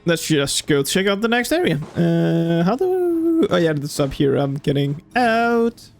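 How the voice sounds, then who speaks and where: A man's voice: fairly high pitch, huge pitch swings, unhurried, vocal fry, soft background music. A man giving casual off-the-cuff commentary as he streams a video game.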